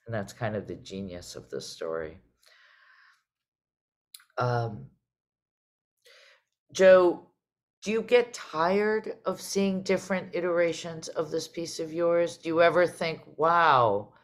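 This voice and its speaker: A woman's voice: low voice, animated, slow pace, pauses often. A middle-aged woman hosting a conversational panel over a video call, musing and emphatic as she circles through her phrasing. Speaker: host and theater artistic director. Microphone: video call microphone.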